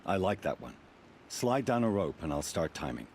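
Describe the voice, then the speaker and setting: An actor playing a seasoned hunting trial keeper in a video game, instructive and approving, encouraging but exacting. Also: male voice, deep voice, very animated, moderate pace. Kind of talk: video game character dialogue. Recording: music behind the voice. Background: game music.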